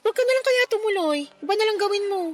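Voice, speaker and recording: A woman's voice: very high voice, expressive, racing. A woman playing a teasing, protective best friend in a radio drama, skeptical and worried, with a Filipino accent. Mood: nagging, concerned. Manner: teasing, nagging, concerned. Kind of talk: Tagalog radio drama dialogue.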